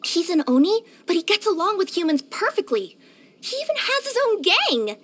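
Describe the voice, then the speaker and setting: A woman voicing a cheerful, curious young female cat yokai in a video game, with a light girlish voice and an expressive, chatty delivery. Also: extremely high pitch, normal speed. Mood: chatty, bright. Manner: expressive, eager, chatty, wistful at times. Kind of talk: scripted game character voice-over.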